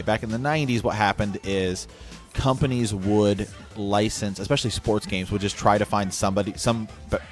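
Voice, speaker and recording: Male podcast host, lower-pitched, casual conversational delivery, faint music underneath.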